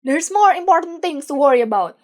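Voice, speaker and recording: A woman voicing a loud, scatterbrained male gang leader who shouts and crows his orders in a read-aloud story. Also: high voice, lively intonation, brisk, pitched above the performer's own voice. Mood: shouting. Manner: shouting, crowing.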